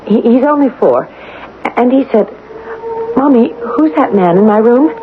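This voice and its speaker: A nervous young mother in an old radio play, high-voiced and halting, tripping over her words. The sound is thin and faintly noisy. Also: expressive, narrow, tinny audio. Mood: frightened. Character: anxious, flustered young wife and mother. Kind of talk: 1970s radio drama acting.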